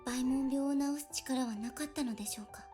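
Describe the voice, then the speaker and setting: A woman voicing a polite, earnest young girl in a visual novel, speaking formally and softly. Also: high voice, rapid-fire, faint music behind, close to an everyday voice. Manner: formal, soft-spoken.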